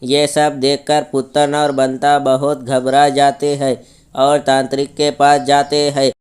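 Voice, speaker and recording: A man narrating a scripted story in a smooth, clean voice. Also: medium pitch, monotone, a little slow.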